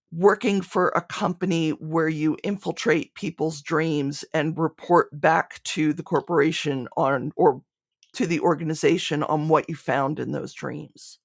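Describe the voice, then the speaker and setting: A woman's voice: sits low, dry recording, studio quality. A woman speaking conversationally as a podcast guest, an audio drama creator explaining things in interview answers.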